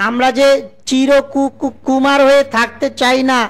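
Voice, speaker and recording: A woman's voice: high-pitched, flat delivery, moderate pace, lightly acted. A woman voicing a scheming male cartoon fox, an eager suitor who stutters heavily on syllables. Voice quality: heavy stutter, repeating syllables. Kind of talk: cartoon voice acting.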